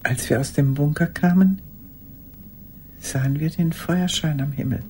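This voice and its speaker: An actress playing an old woman haunted by a lost love, speaking in a halting, intimate radio drama monologue. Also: deep voice, darkish tone, slight background noise. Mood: haunted, hushed. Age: older. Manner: halting, intimate, sometimes dropping to a whisper.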